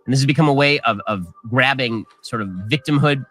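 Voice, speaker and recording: A man giving quick, pointed and sardonic commentary on a video. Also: medium pitch, a bit bright, low-quality recording. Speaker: commentator in a played clip.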